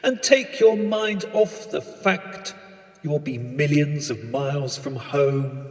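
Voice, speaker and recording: A man playing a blandly official, falsely reassuring launch controller, measured, repetitive and deadpan, in a comic spoken-word piece. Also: a little high, big-room sound. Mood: soothing, droll. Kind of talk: comic spoken-word radio-style sketch.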